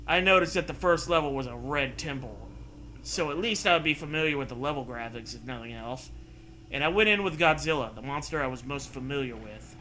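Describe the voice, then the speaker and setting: Bright-voiced man hamming up a story reading. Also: medium pitch, a little fast, a little hiss or hum, rough recording. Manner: hammy, breaks off to riff and joke. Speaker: co-host reading a story aloud. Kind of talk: comedic dramatic reading with casual asides.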